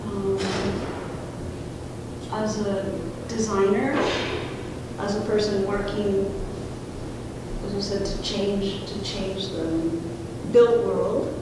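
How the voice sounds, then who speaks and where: A woman speaking as a professor hosting a student discussion, informal and halting as she searches for words. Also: mid-range voice, little pitch movement. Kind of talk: informal spoken introduction to a guest. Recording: distant, very echoey room, noisy.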